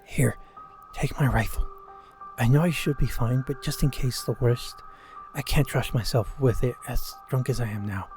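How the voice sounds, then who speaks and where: A man's voice: medium pitch, normal speed, darkish tone, soft background music, natural voice. A man voicing a drunk, guarded village friend with a stammering, loose delivery in a told story. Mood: wary, hushed. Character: drunk village friend, wary male companion with rifle.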